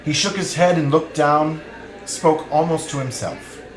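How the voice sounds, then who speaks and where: A man's voice: fairly high pitch, fairly even intonation, unhurried. Man, scripted literary narration, echoey room, crowd sounds in the background. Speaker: narrator of a novel reading. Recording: roomy live reading, crowd sounds behind.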